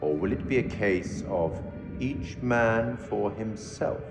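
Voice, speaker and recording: An actor playing a mysterious, sardonic curator of stories in a horror game, speaking in a formal, measured, theatrical way. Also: male voice, on the low side. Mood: grave, foreboding. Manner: formal, measured, ominous, addresses listener directly. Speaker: narrator and host figure of a horror game. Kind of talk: theatrical scripted game monologue. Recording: echoey hall reverb, music behind. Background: music.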